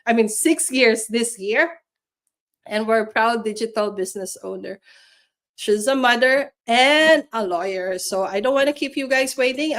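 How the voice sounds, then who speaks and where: A woman hosting a livestream chat, upbeat and persuasive, pitched a touch high. She talks with plenty of fillers and restarts. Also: fairly bright tone. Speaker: host. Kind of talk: livestream interview.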